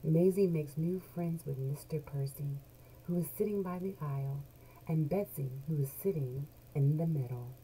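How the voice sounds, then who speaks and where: Dark-voiced woman reading a picture book. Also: low voice. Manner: animated storyteller, deliberate, drawn-out key words. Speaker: children's book read-along host. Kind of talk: expressive read-aloud of a picture book for young children. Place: small indoor home room with bookshelves. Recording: noisy home webcam recording.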